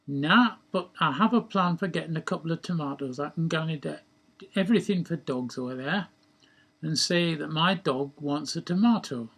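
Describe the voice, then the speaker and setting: Man reading as a cheeky male schemer, husky voice, slightly high pitch, glib, blunt, storybook character. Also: moderate pace, a bit bright. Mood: breezy, scheming. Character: cheeky scheming male joker, Gresham, blithely bluffing.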